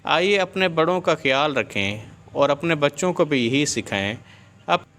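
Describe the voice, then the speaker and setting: A man with a slightly high-pitched voice narrates a scripted story with a moral lesson. He speaks as a storyteller, reading prepared prose. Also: animated, a bit bright.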